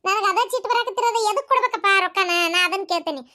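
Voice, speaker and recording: A woman plays an indignant middle-aged daughter-in-law in a village cartoon. She lectures and argues at a quick clip in a very high, sharp voice. Her accent is North Karnataka, and she sounds firmly in charge. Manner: argumentative, lecturing, insistent. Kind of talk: village comedy cartoon dialogue.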